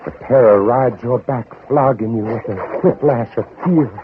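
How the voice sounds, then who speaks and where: An actor playing a hunted, guilt-ridden Southern gentleman who narrates his own flight in a theatrical old radio drama. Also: mid-range voice, normal speed, noisy background, natural voice. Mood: mounting terror. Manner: theatrical, dramatic, intense delivery. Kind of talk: 1950s radio drama, second-person narration. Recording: muffled old radio broadcast recording.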